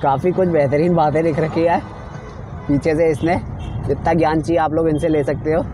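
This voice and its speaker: A man's voice: fairly high pitch, level tone, fairly quick, noisy background. A young man chatting casually to his viewers while filming a road trip vlog on a phone held at arm's length, feeling a little queasy. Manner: chatty, addresses viewers as friends. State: queasy from travel sickness. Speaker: vlogger. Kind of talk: casual travel vlog narration. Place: in a car on mountain roads, then outdoors at a busy temple.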